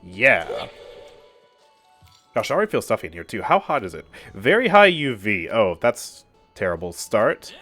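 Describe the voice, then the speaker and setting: A man's voice: lowish voice, wildly swooping pitch, moderate pace, sharp tone, soft background music. A man streaming a game from his room, chatting in a casual, upbeat way and laughing often, sounding stuffy and a little nasal from a cold. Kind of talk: casual live gameplay commentary. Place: his own room at home.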